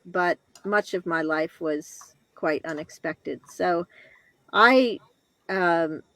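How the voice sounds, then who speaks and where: Older American female interview guest, halting personal storytelling, very leisurely pace, dry close sound. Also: lowish voice, a bit bright, no room sound. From Connecticut, northeastern United States. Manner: reflective, halting, pausing between short phrases. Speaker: guest telling own life story.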